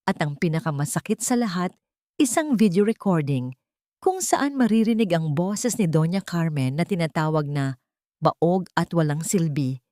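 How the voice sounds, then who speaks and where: A woman narrating a scripted audio drama in a measured, dramatic way. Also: lowish voice, animated, dark tone, no room sound, studio quality. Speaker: storyteller.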